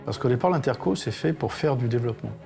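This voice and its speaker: A man speaks at medium pitch in a soft-edged, mellow tone. He is measured and reflective, like a documentary interviewee. Light music sits behind the voice. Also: lively intonation, gravelly. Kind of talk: interview commentary in a documentary film.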